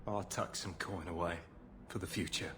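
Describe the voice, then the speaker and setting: An actor voicing a desperate, humble miner in a video game, pleading and deferential with rustic turns of phrase. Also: male voice, deep voice, steady tone, normal speed, background noise, close to an everyday voice. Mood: sorrowful. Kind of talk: video game cutscene acting.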